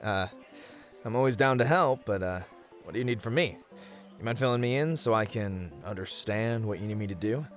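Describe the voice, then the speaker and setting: A man's voice: on the low side, normal speed, soft background music. A man speaking in casual, playful banter as a player in an ASMR fantasy roleplay.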